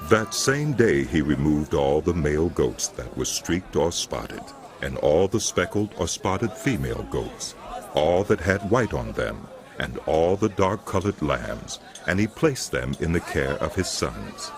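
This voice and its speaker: Deep, expressive male Bible narrator. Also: a little slow, crowd noise. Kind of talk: scripted dramatic Bible narration.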